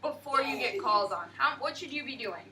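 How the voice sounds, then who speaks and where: A woman teacher leading a class of young children, slow and emphatic, asking the class questions. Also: sits high, expressive. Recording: distant classroom mic, faint noise.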